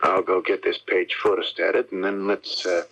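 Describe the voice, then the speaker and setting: An actor with an American accent playing an eager, theatrical younger detective in a radio mystery drama. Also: male voice, low voice, level tone, moderate pace, a bit bright, full character voice. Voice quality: gravelly. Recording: faintly noisy 1970s radio broadcast.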